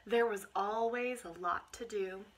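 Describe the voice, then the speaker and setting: An American woman in her twenties reads a picture book aloud to children with asides, in an expressive, encouraging manner. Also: mid-range voice, a little slow. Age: 20s. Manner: expressive, encouraging, addressing young listeners. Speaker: teacher reading aloud to children. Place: small bedroom indoors. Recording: clear background noise, home camera microphone.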